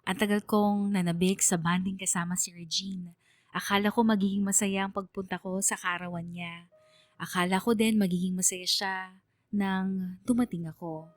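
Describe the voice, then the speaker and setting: A woman's voice: medium pitch, fairly even intonation, normal speed, dry recording, lightly acted. Woman acting plaintive young letter narrator, dry. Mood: hurt, wistful. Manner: earnest, plaintive storytelling. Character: hurt, sentimental young woman narrating her own heartbreak. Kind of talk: dramatized letter narration on radio.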